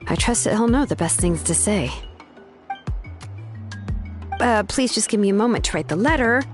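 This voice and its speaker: A woman's voice: medium pitch, animated, fast talker, soft background music, lightly acted. A woman playing a laid-back, dependable young craftswoman. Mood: resolved, thoughtful. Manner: casual, sighing, matter-of-fact. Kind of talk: video game character dialogue.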